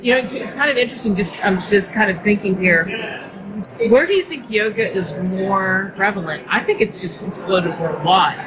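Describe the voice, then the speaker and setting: A woman hosting an interview, encouraging and affirming her guest, then firing off quick follow-up questions. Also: mid-range voice, a crowd in the background, poor audio. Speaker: interviewer host. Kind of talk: casual interview chat.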